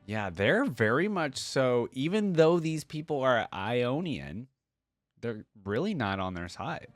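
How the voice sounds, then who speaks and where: A man's voice: mid-range voice, sing-song, unhurried, no room sound, studio quality, faint music behind. A man hosting a reaction video, giving casual, exclamatory commentary and sounding easily impressed.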